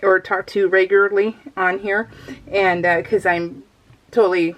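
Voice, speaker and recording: A middle-aged woman talks like a craft vlogger catching up with regular viewers. Her voice sits at an average pitch for a woman. She rambles in a casual, giggly way and is easily sidetracked. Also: flat delivery, a little slow, dull audio. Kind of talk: casual chat, rambling vlog.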